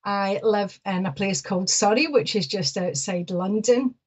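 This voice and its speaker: A woman's voice: mid-range voice, measured pace. A middle-aged Scottish woman hosting a live psychic show, chatty and openly nervous. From Ayrshire, Scotland. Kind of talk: casual chat to viewers.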